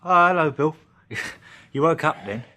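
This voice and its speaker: A man's voice: a little high, animated, normal speed, bright, forceful tone. An actor playing a cheerful, blinded working-class Englishman in a radio drama, chatty and wry, with colloquial turns and morbid jokes. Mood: matter-of-fact.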